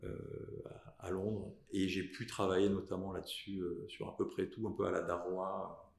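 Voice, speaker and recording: A man in his thirties from southern France talks through his career in an interview, stopping often to hunt for words and start over. His voice sits very low and gravelly. The room around him echoes noticeably. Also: little pitch movement, a little mellow. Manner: halting, many fillers and restarts. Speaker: lawyer guest. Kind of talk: podcast interview, recounting career.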